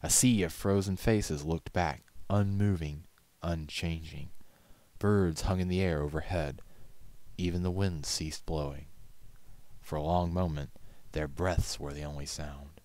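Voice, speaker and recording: A man narrates a story in a very deep voice. He reads very slowly, with measured, solemn drama, and the recording is dry and close. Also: fairly even intonation, a little mellow, no echo. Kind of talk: dramatic audiobook-style story reading.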